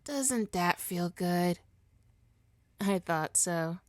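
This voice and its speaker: A woman playing a smug, seductive supervillain in an ASMR roleplay, coaxing and teasing her hero nemesis with deliberate, hypnotic talk. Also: on the low side, expressive, dry recording, studio quality. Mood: soothing, hypnotic.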